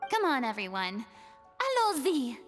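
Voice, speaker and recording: A proud young woman with a very high voice. She speaks in grand, theatrical phrases, as a fallen celebrity of a fantasy video game. Soft music plays behind her. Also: very animated, unhurried. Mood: confident. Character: theatrical, proud young woman, former archon turned performer.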